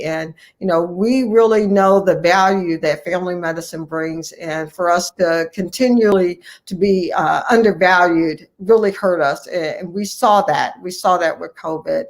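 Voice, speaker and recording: A woman's voice: fairly low pitch, a little slow, hardly any pauses. Earnest American woman giving interview answers. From United States, Ohio. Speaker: physician guest and medical association leader.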